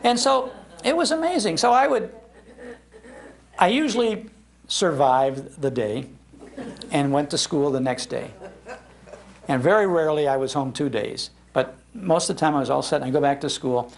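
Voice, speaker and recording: Older American male speaker, creaky-voiced, for folksy nostalgic storytelling delivered live with emphasis. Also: a little high, moderate pace, long pauses. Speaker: speaker giving a talk on old-time radio. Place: public library talk before an audience.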